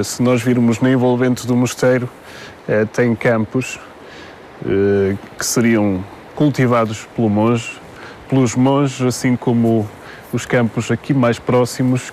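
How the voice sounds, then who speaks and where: A man, explaining the history of an old monastery outdoors, measured and full of hesitations. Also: medium pitch, a little mellow. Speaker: local guide. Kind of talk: explaining local history on camera. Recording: faint outdoor noise.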